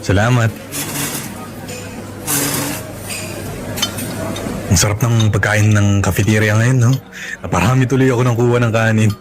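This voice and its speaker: A man's voice: on the low side, fairly even intonation, fairly quick, noisy background, natural voice. A man acting in a Tagalog radio drama as a burdened working father, talking in a confiding, conversational way. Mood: friendly.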